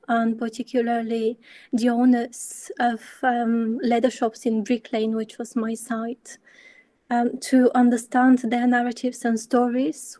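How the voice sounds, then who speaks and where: A young woman in her twenties from Bulgaria presenting her work in an online talk, measured, with frequent ums. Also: on the high side, warm tone, no room sound. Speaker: architecture student speaker.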